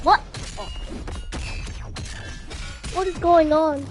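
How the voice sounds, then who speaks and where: A woman's voice: extremely high pitch, drawn-out words. A young woman live-streaming, chatting casually with other players in a slangy way and repeating phrases insistently, with music behind her.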